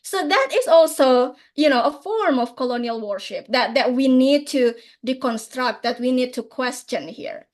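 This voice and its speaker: High-voiced Indonesian woman, impassioned speaker. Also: expressive, normal speed, few pauses. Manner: passionate, often ends points with "right?". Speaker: conference speaker. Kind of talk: academic conference talk.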